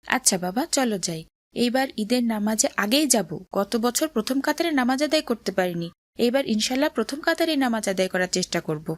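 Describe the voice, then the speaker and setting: A woman's voice: fairly high pitch, expressive, fast, no room sound, natural voice. A woman voicing a devout, friendly young boy in a cartoon, earnest and courteous. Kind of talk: cartoon drama voice acting.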